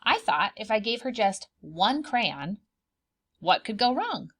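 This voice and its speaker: A woman reading a picture book aloud for preschoolers, expressive and dramatic, pausing to put questions to the children. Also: mid-range voice. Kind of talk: animated children's storybook read-aloud with asides.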